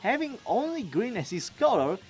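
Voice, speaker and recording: An enthusiastic man narrates a chatty recap in the style of an online creator. His pitch moves a great deal and his delivery is expressive. Soft music plays underneath. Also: moderate pace. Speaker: video creator and amateur voice actor.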